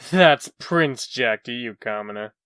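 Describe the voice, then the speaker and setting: A man's voice: medium pitch, animated, slow pace, a bit bright, clean tone, no room sound, studio quality. A man playing a cocky, irreverent young schemer in a comic dub, breezy and slangy with a mocking edge.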